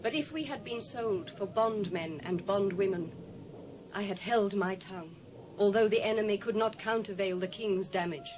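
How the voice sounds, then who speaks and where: A woman's voice: moderate pace, darkish tone, highs cut off, close to an everyday voice. An actress as an earnest, entreating queen. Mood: pleading. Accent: British. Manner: formal, entreating. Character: earnest, dignified young queen pleading for her people. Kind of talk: dramatised scripture audio drama. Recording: faint noise and rumble, old cassette.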